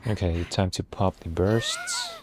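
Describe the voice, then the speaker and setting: Low-pitched, unhurried man streaming a game. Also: huge pitch swings, background noise. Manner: low-key, thinking aloud. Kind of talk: casual solo gameplay commentary. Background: video game music.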